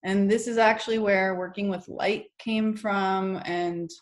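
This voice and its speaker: A woman's voice: mid-range voice, fairly even intonation, a little slow. A woman telling a story about her travels in an enthusiastic, rambling way during a casual conversation. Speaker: guest, brand co-founder.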